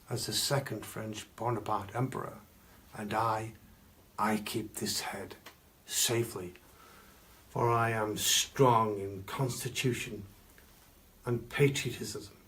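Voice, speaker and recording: A man playing a pompous Victorian gentleman collector, telling macabre tales in a grandiloquent, theatrical way with dramatic pauses. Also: fairly low pitch. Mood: grand, secretive. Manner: grandiloquent, archaic phrasing, dramatic pauses and stresses. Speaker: costumed storyteller. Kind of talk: theatrical live storytelling.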